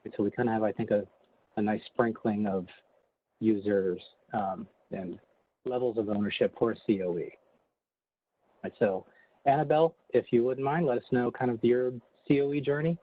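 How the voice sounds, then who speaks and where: A man's voice: lowish voice, moderate pace. Man, panel host, hesitant, lots of ums, tinny phone-like call audio. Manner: hesitant, many fillers. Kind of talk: moderating a virtual panel. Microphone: video call.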